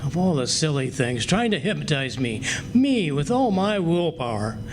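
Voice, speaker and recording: A man's voice: a little high, moderate pace. A man playing a blustering, gullible, grumbling husband in an old-time radio comedy. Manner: grumbling, excitable, boastful. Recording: noisy live stage recording.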